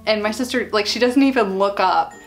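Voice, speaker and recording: A woman's voice: mid-range voice, a little fast, faint music behind. A young woman in her twenties chatting animatedly to a webcam in a small bedroom, telling stories and acting out what her friends said. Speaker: vlogger. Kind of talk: casual storytime chat.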